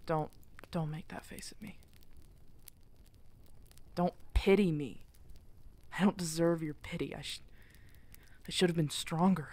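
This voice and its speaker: A wounded female werewolf alpha in exile, acted by a woman, speaks slowly in a lowish voice. She is halting and emotional, protective and full of guilt, often dropping into breathy half-whispers. It is intimate ASMR roleplay drama. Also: no room sound. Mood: bitter self-blame.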